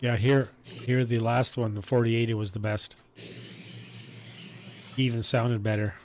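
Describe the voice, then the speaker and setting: Male host, mid pitch, easygoing and halting with fillers. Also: a little hiss or hum. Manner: relaxed and halting, with many fillers. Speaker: host and live streamer. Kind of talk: casual on-air chat.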